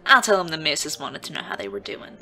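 Woman playing a bright, teasing saloon sweetheart. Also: sits low, very animated, quick pace, faint music behind, heavily acted. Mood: affectionate, flirty. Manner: coaxing, teasing, sugary. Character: flirtatious, teasing saloon woman, sweet but quietly dangerous. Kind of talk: audio drama acting.

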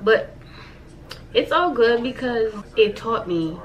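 A woman's voice: on the high side, animated, takes it slowly, dull audio. An American woman in her thirties chatting casually and playfully to camera as a vlogger, repeating phrases for emphasis. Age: 30s. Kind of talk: casual chatty life-update vlog to camera.

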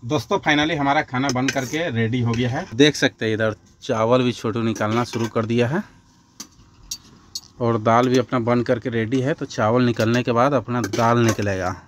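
A man's voice: medium pitch, steady tone, moderate pace, background noise. A man from India narrating a casual trucking and cooking vlog step by step, addressing viewers as friends. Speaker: truck driver vlogger.

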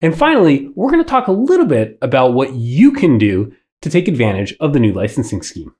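A man's voice: medium pitch, big pitch swings, brisk, a little mellow. Nasal man with a head cold. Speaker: tech channel host. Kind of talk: scripted explainer to camera. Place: small indoor studio with wood backdrop.

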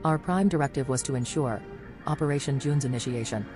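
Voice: medium pitch, fairly quick, a little mellow, soft background music, lightly acted. A performer voicing a cold, dutiful machine in an audio drama, with clipped, procedural delivery. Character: cold, dutiful computer or droid guard.

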